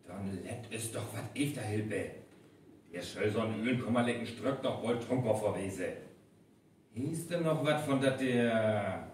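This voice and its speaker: An actor as a slow-talking North Frisian village man. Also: on the low side, lively intonation. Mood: grumbling urging. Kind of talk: live radio play acting. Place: event venue, live stage recording. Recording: very echoey hall, distant, faint noise.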